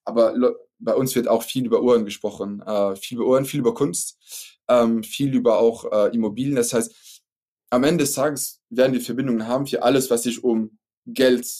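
This is a man with a Luxembourgish accent whose first language is French, chatting as a podcast guest. His voice is dark and mellow. He pauses often and circles back with fillers and restarts as he explains. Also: mid-range voice, fairly even intonation. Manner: halting, many fillers and restarts. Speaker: startup founder guest. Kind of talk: casual podcast interview.

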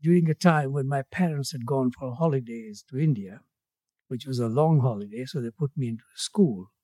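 A man in his eighties from Tanzania, a guest in an interview, speaking in a measured way with his voice falling away at the ends of phrases. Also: mid-range voice, warm tone, dry recording, clean studio sound. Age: 80s. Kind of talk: reflective interview conversation.

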